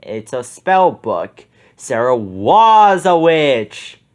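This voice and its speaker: A man growling as a slow, sinister villain. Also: sing-song. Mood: menacing. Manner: low, slow, growling menace. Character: sinister scheming adult male villain.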